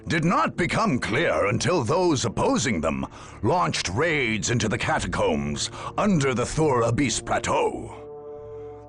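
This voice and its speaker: A man acting as a grave chronicler of a far-future war, narrating a video game in a solemn, measured, theatrical way. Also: mid-range voice, a bit bright, heavily acted.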